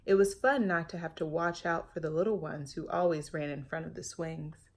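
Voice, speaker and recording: A woman's voice: low voice, fairly even intonation, normal speed, slight background noise. Woman reading a storybook to young children. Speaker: storytime reader. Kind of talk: children's read-aloud with chatty asides to young listeners.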